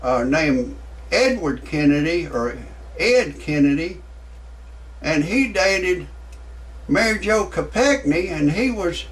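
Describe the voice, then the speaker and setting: A man's voice: high voice, recorded from a distance. A man, ranting profanely in a stand-up comedy monologue. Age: 60s. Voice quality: profane, blustering.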